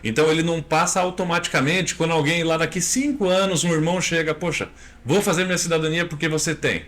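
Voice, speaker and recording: A Brazilian man in his thirties, a lawyer answering interview questions in a measured, step-by-step way with frequent tag questions. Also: a little high, steady tone, fairly bright tone. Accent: Brazilian Portuguese.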